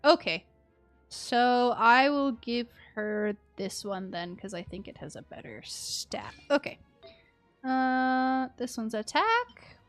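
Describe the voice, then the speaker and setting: A woman's voice: a little high, fairly bright tone. A woman streamer chatting casually and thinking aloud to herself as she plays a game. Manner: chatty, muttering to herself while reading. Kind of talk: casual live gameplay chat, thinking aloud. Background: music.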